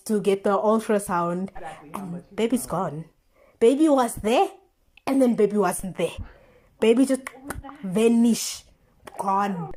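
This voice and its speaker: A young woman with a South African accent chatting casually, retelling stories in an animated, slangy way with exclamations and rhetorical questions. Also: medium pitch. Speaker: vlogger. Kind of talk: casual chatty storytelling commentary.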